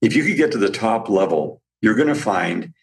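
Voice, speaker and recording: Brief: male podcast guest, deep and gravelly, a bit raspy, rambling storyteller. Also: expressive, moderate pace. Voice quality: slightly raspy. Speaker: guest, supplement business owner. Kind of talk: casual podcast conversation.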